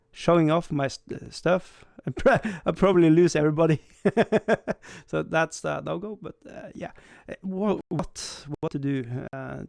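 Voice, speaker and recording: A man's voice: fairly high pitch, vocal fry, a little mellow, dry recording, studio quality. A young man, an English speaker, hosting a casual livestream, rambling with many stammered restarts and self-deprecating laughs. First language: Swedish. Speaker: livestream host.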